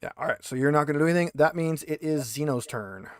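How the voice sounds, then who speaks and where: A man's voice: on the high side, quick pace, no echo, clean studio sound. A man acting as game master, chatting casually and explaining the rules step by step. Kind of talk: casual tabletop game chat.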